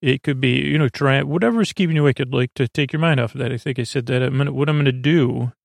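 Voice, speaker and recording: Creaky-voiced man rambling softly toward bedtime. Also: medium pitch, no echo. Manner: meandering, halting, losing his thread; soothing, lulling. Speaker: podcast host. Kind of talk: rambling bedtime podcast monologue.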